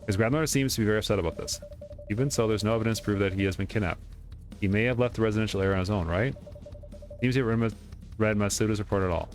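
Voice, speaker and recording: Fast-talking man with a low voice. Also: soft background music. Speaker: let's play streamer. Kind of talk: reading game dialogue aloud during playthrough.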